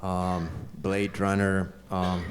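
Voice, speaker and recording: A man's voice: low-pitched, monotone, very slow, noisy background. A man from Finland with a Finnish accent, a novelist answering a panel question in English, hesitant and full of fillers.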